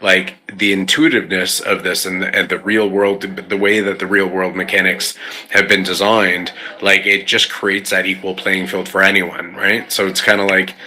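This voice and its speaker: A man's voice: low voice, pushed and bright. A man chatting casually as a panelist on a tabletop game podcast, his talk peppered with "like" and "you know". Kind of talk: casual chat.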